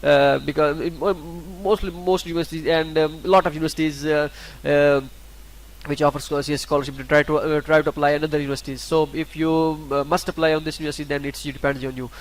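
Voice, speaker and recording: Halting man. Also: fairly high pitch, flat delivery, poor audio. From Pakistan. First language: Urdu. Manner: halting, many fillers and restarts. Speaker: scholarship guide YouTuber. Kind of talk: screen-recorded tutorial walkthrough.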